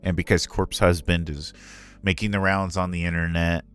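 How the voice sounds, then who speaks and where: A man with a very deep natural voice telling stories casually on a livestream, candid and self-deprecating in a conversational way. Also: flat delivery, normal speed, soft background music. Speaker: streamer and ASMR roleplay voice performer answering a Q&A.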